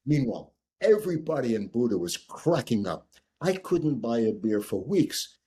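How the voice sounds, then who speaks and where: Husky animated older American storyteller. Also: male voice, mid-range voice, normal speed. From United States. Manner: animated raconteur, repeats "right?", acts out quoted voices. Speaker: guest. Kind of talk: storytelling in conversation.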